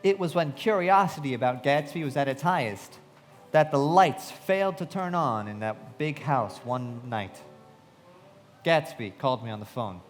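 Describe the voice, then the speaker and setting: A man's voice: mid-range voice, expressive, normal speed, soft background music, natural voice. An actor playing a reflective, observant young man narrating his memories with literary care in a live radio play. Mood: reflective, vivid. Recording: reverberant hall with faint noise.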